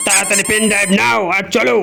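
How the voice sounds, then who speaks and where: A man voicing a stern, commanding god of death in a cartoon, imperious and scolding with a gravelly voice. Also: high voice, level tone, rapid-fire, background noise. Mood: stern, impatient. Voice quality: creaky, gravelly.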